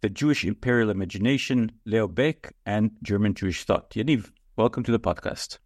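A man's voice: on the low side, fairly quick, dry recording. Polite man hosting with dry sound. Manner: polite, hesitant questioning. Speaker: podcast host. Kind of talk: interview hosting.

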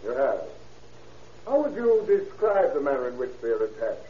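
A man's voice: medium pitch, big pitch swings, moderate pace, mellow, lightly acted. A man playing an aggressive, sardonic prosecutor cross-examining pointedly in an old-time radio courtroom drama. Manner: pointed, sarcastic. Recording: distant, echoey, tinny radio recording with hiss.

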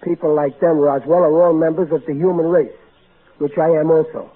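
A man's voice: a little high, steady tone, brisk, crowd noise, lightly acted. An actor playing a big-hearted, blustery middle-aged everyman in old-time radio style. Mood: earnest, kindly. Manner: folksy, ungrammatical, earnest, blustery. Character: big-hearted, folksy, exasperated working-class everyman. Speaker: actor in a radio comedy-drama. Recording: narrow tinny vintage radio broadcast, hissy.

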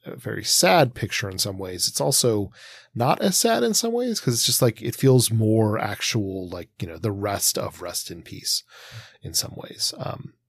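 A man co-hosting a casual discussion podcast, thinking aloud in a hesitant, halting way with many filler words. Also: lowish voice, very animated, creaky, husky. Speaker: podcast co-host.

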